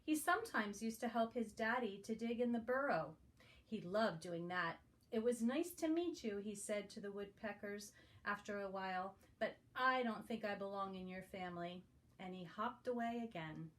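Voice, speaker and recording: A woman's voice: mid-range voice, normal speed. A woman reading a picture book aloud to young children as a guest reader. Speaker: guest story reader for preschoolers.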